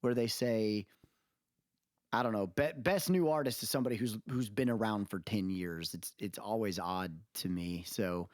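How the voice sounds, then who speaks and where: A man's voice: lowish voice, moderate pace, darkish tone, dry recording, studio quality. A man talking casually and emphatically as a podcast co-host, giving opinions in informal, colloquial language. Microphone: close podcast mic on remote recording.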